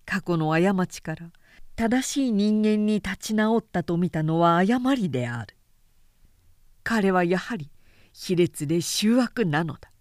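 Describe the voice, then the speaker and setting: Slow, expressive woman narrator, dry close sound. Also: on the low side, dry recording. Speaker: narrator reading a story aloud. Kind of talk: scripted literary narration of a period tale.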